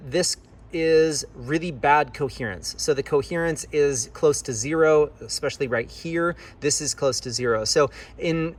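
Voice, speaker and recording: A man with a slightly high voice gives a technical tutorial. He speaks as an instructor, walking through things step by step and checking that the listener follows. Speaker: church audio engineer and video presenter. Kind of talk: explanatory tutorial narration.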